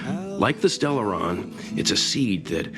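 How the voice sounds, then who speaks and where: A man voicing a thoughtful, kindly mentor in a video game, with a measured, reflective and gentle delivery. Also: lowish voice, lively intonation. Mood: reflective, encouraging. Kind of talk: scripted video game dialogue. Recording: music behind the voice.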